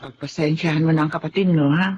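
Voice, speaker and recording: A woman's voice: deep voice, fairly even intonation, fast talker, husky, muffled recording. An actress playing a forgiving, weary elderly mother and grandmother with a Filipino accent in a TV drama. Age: older.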